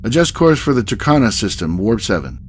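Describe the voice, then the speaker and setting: A man playing a composed, diplomatic starship captain in a science-fiction audio drama, crisp and commanding. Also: lowish voice, sing-song, fairly quick, a little hiss or hum. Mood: businesslike.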